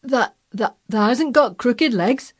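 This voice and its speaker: A woman voicing a blunt, gruff old gardener man with a hoarse, shaky voice and broad Yorkshire dialect in a storybook reading. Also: medium pitch, animated, a little slow, a little mellow. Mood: shaken, stunned. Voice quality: hoarse, quavering.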